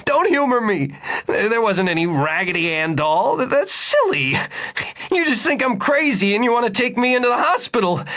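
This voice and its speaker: A man voicing a frantic, disheveled man who stammers and pleads urgently in a shrill voice, in an audiobook. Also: expressive, normal speed, vocal fry, few pauses, sharp tone, breathy edge, exaggerated voice. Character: frantic disheveled man chasing living toys.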